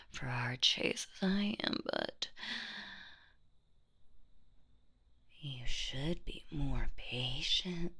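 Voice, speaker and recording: A woman voicing a sadistic, playful boogeywoman in a horror audio roleplay, whispering in a husky, teasing, menacing and mock-sweet way. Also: fairly bright tone, exaggerated voice. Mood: teasing menace. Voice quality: breathy, husky whisper with creaky low notes. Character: sadistic, playful female boogeywoman monster hunting humans.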